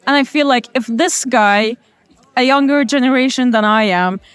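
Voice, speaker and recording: Enthusiastic woman with a crisp voice. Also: high-pitched, normal speed, no room sound. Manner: warm and enthusiastic, with casual fillers. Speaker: network member. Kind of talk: interview testimony.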